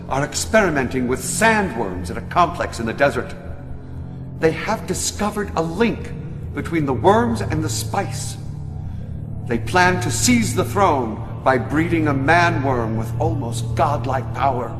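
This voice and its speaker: A scheming, servile yet menacing counsellor from a video game. His theatrical voice sits high and swoops widely. Long reverb surrounds it, over music. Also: sing-song, unhurried. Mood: grave, ominous. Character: sly, menacing male Mentat advisor, servile to his lord. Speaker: scheming advisor to a villainous baron. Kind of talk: dramatic video game cutscene acting.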